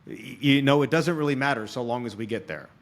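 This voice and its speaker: A man's voice: mid-range voice, brisk, vocal fry. A man hosting a podcast interview, explaining things conversationally and often checking in with his listener. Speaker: podcast host and experienced salesman.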